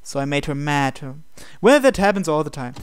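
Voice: medium pitch, very animated, moderate pace, very noisy. A person reading visual novel text aloud for a let's play, acting out the dialogue expressively. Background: game music.

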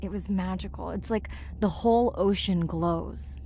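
An actress playing a warm, eager marine scientist and diver in natural video game dialogue. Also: female voice, medium pitch, a little slow, a little hiss or hum, close to an everyday voice. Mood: warm, conversational. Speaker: voice actor. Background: music.

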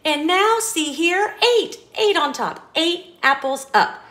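A playful, bragging storybook animal, voiced by a woman reading aloud. The voice sits high and leaps around in excited singsong. It is showy and competitive, drawing words out slowly for effect. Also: roomy sound, exaggerated voice. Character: boastful, playful cartoon animals showing off balancing tricks.

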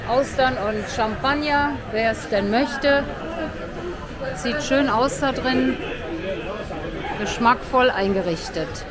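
A woman's voice: sits high, takes it slowly, long pauses. A woman vlogger chattily narrating a casual walking tour along a seaside promenade, pointing out sights as she goes. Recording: busy crowd noise behind. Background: crowd.

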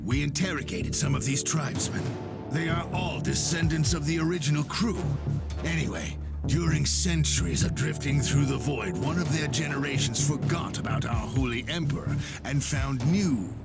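A man acting a battle-hardened, sardonic space marine sergeant in a video game, gruff, wry and theatrical. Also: a little high, moderate pace, over loud music. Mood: wry, grave.

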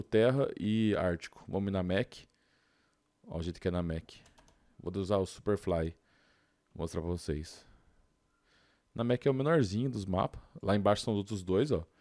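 A man's voice: lowish voice, a little mellow, no echo. A young man with a Brazilian accent giving casual gameplay commentary, informal and slangy, thinking aloud. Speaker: gaming video host.

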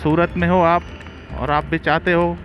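Young male motovlogger, slightly high voice, casual on-the-road chat, music under it. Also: flat delivery, moderate pace, dull audio. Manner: chatty, addresses viewers as guys. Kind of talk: casual vlog chat while riding. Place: on a motorbike on open roads, outdoors. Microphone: helmet-mounted phone.

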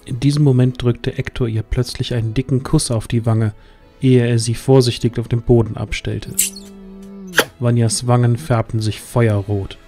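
Mellow male storyteller over music. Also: medium pitch, little pitch movement, normal speed. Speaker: narrator. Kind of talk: scripted audio drama narration.

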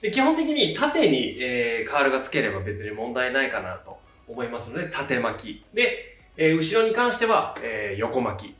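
A man's voice: mid-range voice, huge pitch swings, normal speed, a bit bright. A man in his thirties explaining a tutorial step by step in a casual, polite instructional style. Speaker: hairdresser giving a tutorial, channel host. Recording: roomy echo, distant mic, faint music. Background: faint background music.

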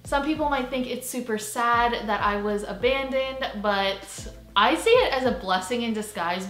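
A woman's voice: medium pitch, a bit bright. Young woman vlogging in an echoey bedroom. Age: young adult. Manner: candid, rambling, many likes and ums. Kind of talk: casual talk to camera. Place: bedroom indoors. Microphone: camera at medium distance. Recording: roomy bedroom echo.

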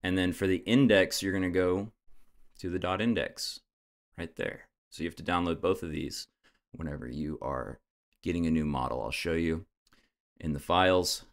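A young man casually guiding viewers step by step through a software setup, with frequent "uh"s. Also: low voice, moderate pace. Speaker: tech tutorial host. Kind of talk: screen-recorded software tutorial.

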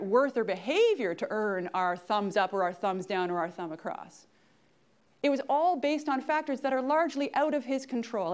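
A woman in her forties with a Canadian accent, giving an interactive lecture on an auditorium stage and often checking in with the audience. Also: on the high side. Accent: Canadian English. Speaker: guest speaker at grand rounds.

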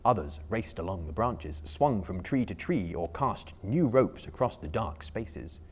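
A man's voice: lowish voice, big pitch swings, a little slow, a little mellow, slightly rough. A man in his thirties narrating a storybook as a dramatic reading, theatrical, emphatic and carefully phrased. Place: indoor bookstore room lined with shelves.

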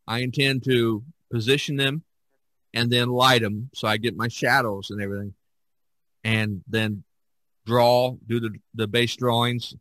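A man chatting casually as a podcast host, halting and often restarting his phrases. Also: medium pitch, a bit bright, no room sound, rough recording. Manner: halting, with repeated false starts. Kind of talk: casual chat about art.

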